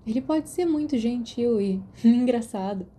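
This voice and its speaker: A woman's voice: fairly high pitch, expressive, unhurried, mellow. A woman voicing an outspoken, clever, kind teenage witch girl in a story, with a frank, pointed way of talking. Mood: defensive.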